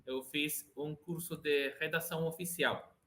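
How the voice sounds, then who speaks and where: A man's voice: a little high, steady tone, unhurried, fairly bright tone. A Venezuelan man with Spanish as his first language, speaking Portuguese with a Venezuelan accent as an interview guest, hesitant and often restarting as he searches for words. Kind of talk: casual interview chat.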